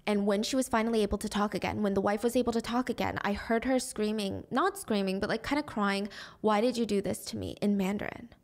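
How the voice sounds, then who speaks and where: A young woman narrating a true crime case into a studio mic at home, animated and acting out the quotes. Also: mid-range voice, fast talker, few pauses. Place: small room at home, indoors. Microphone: studio mic on boom arm.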